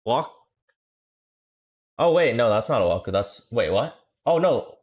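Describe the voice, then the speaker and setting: A man's voice: mid-range voice, very animated, darkish tone. A young man streaming, chatting casually and joking with his viewers. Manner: profane, joking, quick reactions. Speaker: streamer and coach. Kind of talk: casual livestream chat.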